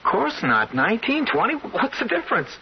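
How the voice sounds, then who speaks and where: A young bellhop in a radio play, very high for a male voice. He is eager, polite and a little hesitant, with folksy deference, on a dull, noisy recording. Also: huge pitch swings, fairly quick, full character voice. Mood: eager, surprised. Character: eager, polite nineteen-year-old hotel bellhop. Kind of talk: 1970s radio drama acting.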